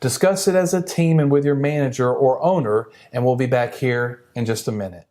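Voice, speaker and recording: A man's voice: mid-range voice, a little fast, mellow. A man coaching a sales team in a conversational training style, speaking directly to the listener with encouraging emphasis.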